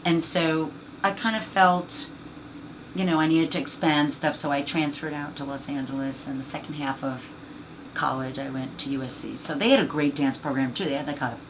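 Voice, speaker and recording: A woman with a husky, low voice, chatting as an interview guest about her acting career, rambling and filling gaps with "you know.". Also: normal speed, background noise. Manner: rambling, many fillers. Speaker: actress and voice actor guest. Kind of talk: casual interview chat.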